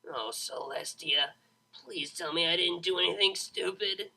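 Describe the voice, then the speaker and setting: A man's voice: high-pitched, a little slow, gravelly, pushed and bright, voice pushed up, full character voice. A man voicing a hungover young female pony DJ, brash and flustered, grumbling and exclaiming in an audiobook. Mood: anxious pleading.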